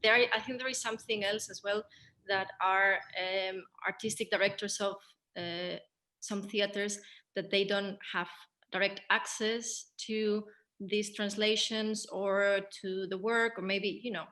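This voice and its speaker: Female director leading a post-show Q&A: sharp bright voice, little pitch movement, pauses to find words. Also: fairly even intonation. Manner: halting, careful, searching for words. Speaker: theatre director hosting a Q&A. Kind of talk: casual post-show panel discussion.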